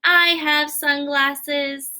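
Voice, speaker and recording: A woman's voice: high voice, little pitch movement, very slow, sharp tone, full character voice. A woman voicing a cheerful, helpful little pig pal in a playful manner for a children's storybook. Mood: eager. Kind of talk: children's storybook read-aloud.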